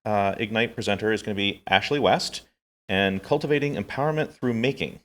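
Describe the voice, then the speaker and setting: Low-voiced man announcing over hall noise. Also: fairly quick. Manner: brief hesitant introduction. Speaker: event announcer. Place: conference hall.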